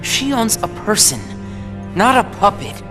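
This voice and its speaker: A man's voice: high voice, big pitch swings, measured pace, music in the background. A man playing an earnest, stubborn and loyal teenage boy in a video game, with an intense, questioning delivery. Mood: indignant.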